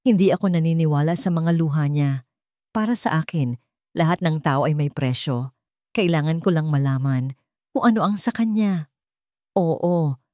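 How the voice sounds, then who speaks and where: A woman's voice: low-pitched, dark tone, no room sound, clean studio sound, lightly acted. A woman with a Filipino accent voicing a cold, resolute, vengeful businessman in a story. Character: cold, vengeful male CEO, distrustful.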